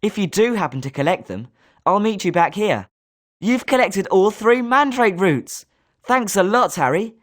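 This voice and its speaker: Young British schoolboy wizard. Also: male voice, high voice, sing-song, moderate pace. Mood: eager. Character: schoolboy wizard student, polite but sometimes sneering. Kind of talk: scripted video game character lines.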